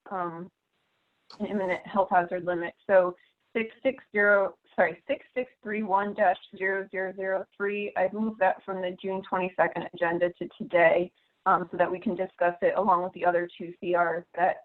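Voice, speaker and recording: Low, even-pitched woman on phone line. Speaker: meeting organizer. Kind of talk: informal meeting update. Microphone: conference call. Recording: thin narrow phone-like conference line.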